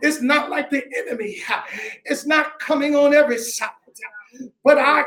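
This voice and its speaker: A woman preacher leading fervent Pentecostal-style worship, crying out in drawn-out phrases with rhythmic punctuating grunts. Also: sits high, a bit bright. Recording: echoey room.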